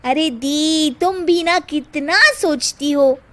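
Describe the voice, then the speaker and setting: A woman voicing a bubbly, mischievous young younger sister in a Hindi cartoon story, playful and excitable. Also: high-pitched, slow pace, voice pushed up. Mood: playful, teasing.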